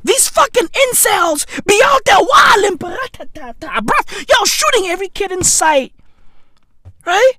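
Slow, wildly swinging ranter. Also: male voice, very high voice, sing-song. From South Africa. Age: 30s. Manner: exaggerated ranting with slang and sudden shouts. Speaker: comedy podcast host. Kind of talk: comedic rant.

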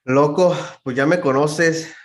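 A man's voice: fairly high pitch, steady tone, takes it slowly. A man with a northern Mexican accent, a podcast guest telling stories in a slangy, laid-back casual chat.

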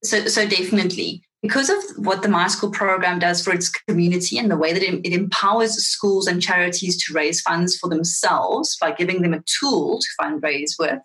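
A woman whose first language is Afrikaans, a marketing guest giving thoughtful, animated answers in an interview. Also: lowish voice, brisk, few pauses, poor audio.

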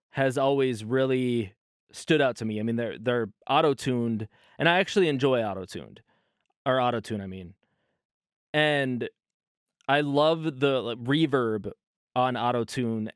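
A man's voice: medium pitch, clear, resonant tone, no room sound, studio quality. A man in his thirties hosting a casual solo podcast from his bedroom, talking loosely and often correcting himself. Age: 30s. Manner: loose, rambling, self-correcting. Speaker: podcast host.

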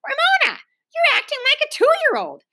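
A woman's voice: high voice, wildly swooping pitch, a little fast, pushed and bright, voice pushed up, full character voice. A woman reading a children's storybook, voicing a firm mother scolding an unruly child. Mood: stern. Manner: firm, reproving. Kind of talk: children's storybook read-aloud.

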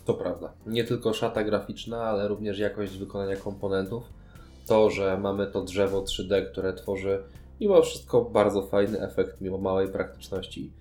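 Male podcast co-host, low and very mellow voice, casual discussion over faint music. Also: steady tone, moderate pace. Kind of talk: casual board game discussion.

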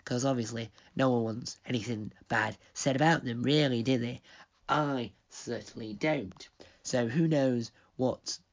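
A man's voice: medium pitch, a little slow, lo-fi sound. A young man who owns a radio station, rambling through a pre-recorded intro while losing his voice. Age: young adult. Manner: rambling, digressive.

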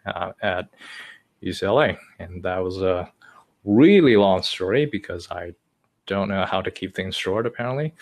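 Young guy from china, low voice, lots of uhs, rambles on, casual chat. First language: Chinese. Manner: hesitant, many uh fillers, long-winded. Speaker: podcast guest.

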